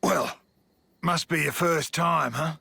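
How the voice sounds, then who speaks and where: An actor playing a weary, gruff old man in a video game, wry and raspy. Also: a little high, takes it slowly, sharp tone, exaggerated voice. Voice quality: gravelly, raspy. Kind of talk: video game cutscene acting.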